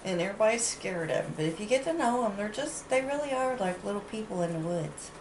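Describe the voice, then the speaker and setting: A stuffed-up woman chatting playfully and animatedly, with excited jumps in her voice. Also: medium pitch, quick pace. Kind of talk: casual chat. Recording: echoey room with faint noise.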